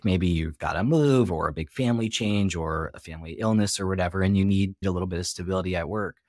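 Male podcast host, lowish pitch, dry close mic, reflective, sums up his guest's points. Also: no room sound. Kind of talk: conversational interview.

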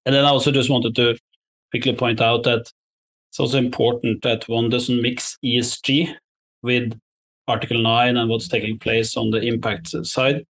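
Measured man giving careful interview answers. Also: mid-range voice, level tone, fairly bright tone, poor audio. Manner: measured, diplomatic, careful wording. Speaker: investor, interview guest.

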